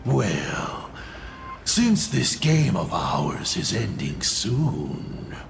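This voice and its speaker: Gravelly, swooping, taunting villain. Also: male voice, lowish voice, very animated, slight background noise, exaggerated voice. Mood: mocking, amused. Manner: teasing, taunting, drawn-out dramatic delivery. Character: mocking, theatrical male villain, a fallen navigator turned destroyer. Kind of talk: dramatic video game voice acting. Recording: loud game music behind. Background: game soundtrack music.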